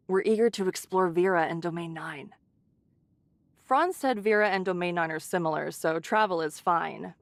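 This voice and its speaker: A woman voicing a caring, brisk shelter leader who scolds in a motherly, teasing way, in an English anime game. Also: lowish voice, dry recording, natural voice.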